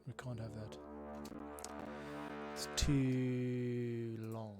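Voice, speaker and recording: A man's voice: medium pitch, level tone, very slow. Guy livestreaming composing, muttering his thoughts casually, music playing behind. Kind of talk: casual think-aloud commentary. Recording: music over the voice. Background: instrumental music being composed.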